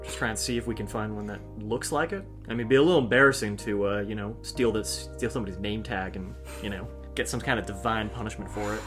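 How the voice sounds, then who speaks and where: A man's voice: on the low side, fast talker, few pauses. A man playing a tabletop fantasy game, casually narrating his character with hesitant, informal phrasing. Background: music.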